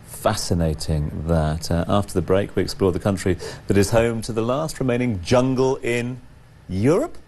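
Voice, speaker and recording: A man in his forties co-hosting a morning show, chatty and lively. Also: lowish voice, normal speed. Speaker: TV presenter. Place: TV studio set.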